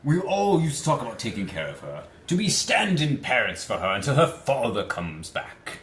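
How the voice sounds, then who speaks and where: A man's voice: medium pitch, wildly swooping pitch, moderate pace, fairly bright tone, slight background noise. A man co-hosting a let's play, wisecracking as he casually reads game text aloud and comments on it.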